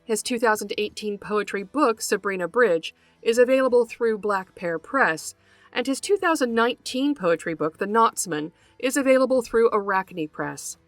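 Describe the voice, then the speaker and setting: A woman hosting a podcast, reading a scripted narration in a measured, carefully enunciated way. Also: fairly high pitch, expressive, dry recording, soft background music. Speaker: podcast host. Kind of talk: scripted narration, introducing a guest.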